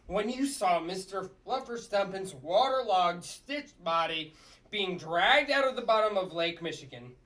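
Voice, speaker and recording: A man plays a gruff puppet district attorney in a sitcom table read. He is bossy and blustering, repeats himself as his temper rises, and is heard at a distance from the mic. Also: sits high, fairly bright tone. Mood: indignant. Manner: blustering, stumbles and repeats words.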